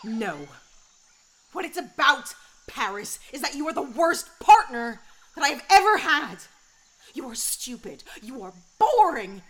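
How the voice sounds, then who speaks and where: A woman's voice: high-pitched, very animated, unhurried, fairly bright tone, exaggerated voice. A woman playing a fiery, scornful space adventurer in an audio drama, cutting and emphatic. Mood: angry, contemptuous.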